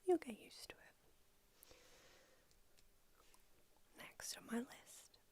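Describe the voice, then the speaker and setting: A woman's voice: very slow, warm tone. A woman whispering as an ageless female vampire doctor in an ASMR roleplay, with dry, blunt, slightly condescending remarks. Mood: clinical whisper. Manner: whispered throughout.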